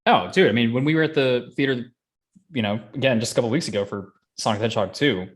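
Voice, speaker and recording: A young man hosts a casual podcast conversation. His voice sits at an ordinary middle pitch and he talks in an informal, conversational way. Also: fast. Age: young adult. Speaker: podcast host. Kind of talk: casual chat.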